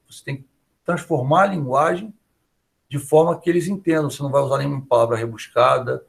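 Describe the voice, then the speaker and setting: A man's voice: a little high, gravelly. A male professor with a Brazilian Portuguese accent, lecturing in a chatty, conversational way and often tagging his sentences with little check-in questions. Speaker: university professor. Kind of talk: online class lecture, conversational.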